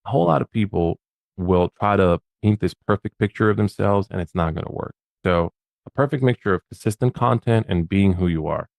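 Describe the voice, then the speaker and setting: Low-voiced emphatic man giving advice. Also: fairly quick, vocal fry, darkish tone, no echo. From Bosnia. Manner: emphatic, punchy, often repeats words. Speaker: podcast guest, social media advisor. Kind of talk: casual advice talk.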